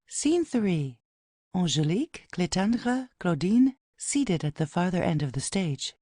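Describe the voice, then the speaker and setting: A woman's voice: on the low side, sing-song, a little slow, mellow, no room sound, studio quality. A woman narrating an audio play, reading out headings and stage directions as scripted narration.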